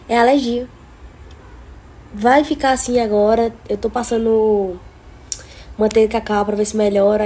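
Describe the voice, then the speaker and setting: A woman's voice: fairly high pitch, a little hiss or hum. Chatty young woman with a cold. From Brazil. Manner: chatty, breezy, animated when venting. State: sick with a cold, coughing. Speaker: influencer. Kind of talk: casual vlog stories to followers. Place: home living room and kitchen. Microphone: phone held at arm's length.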